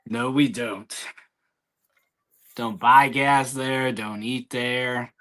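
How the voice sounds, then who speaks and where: A man's voice: mid-range voice, steady tone, drawn-out words, fairly bright tone. A man chatting casually and jokingly, with drawling, hesitant trash talk.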